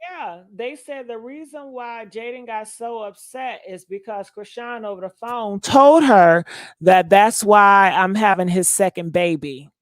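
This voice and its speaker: A woman's voice: mid-range voice, unhurried, no room sound. Woman hosting a call-in chat, dry sound. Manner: chatty, takes callers and runs phone polls. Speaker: host of a call-in gossip show.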